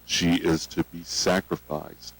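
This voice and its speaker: A man playing a solemn, menacing old grandfather and cult patriarch who preaches gravely in a horror storybook. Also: deep voice, flat delivery, slow pace, a bit bright, heavily acted, rough recording. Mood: grave preaching. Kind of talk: scripted analog horror storybook acting.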